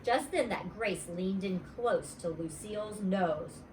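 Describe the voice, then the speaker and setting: A woman's voice: medium pitch, takes it slowly. A woman reading a children's story aloud expressively, in the first-person voice of a child. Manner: expressive, dramatizing dialogue. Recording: roomy echo, distant mic, faint noise.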